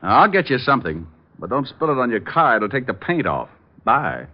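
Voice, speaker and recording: Wisecracking American gumshoe played by a male radio actor: wry, flippant, animated delivery, muffled old broadcast sound. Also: medium pitch, lightly acted. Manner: wry, flippant, quick with quips. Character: wisecracking, sardonic male private detective. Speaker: lead actor and narrator. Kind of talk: 1940s-50s American radio detective acting. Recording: dull old radio recording with music.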